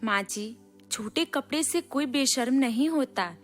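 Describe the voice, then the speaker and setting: A woman's voice: high voice, expressive, measured pace, faint music behind. A woman voicing a respectful, self-assured young city bride in a storybook cartoon. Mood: polite, reassuring. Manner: respectful, reassuring.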